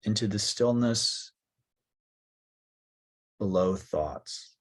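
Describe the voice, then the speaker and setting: A man leading a guided yoga nidra meditation as a teacher, speaking softly and calmly in short phrases with long pauses. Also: lowish voice.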